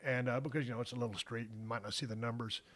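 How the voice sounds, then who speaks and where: A man's voice: mid-range voice, fairly even intonation, racing, fairly bright tone, dry recording. A man hosting a podcast, chatting casually and telling jokey, folksy stories. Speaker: podcast host. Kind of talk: casual interview chat.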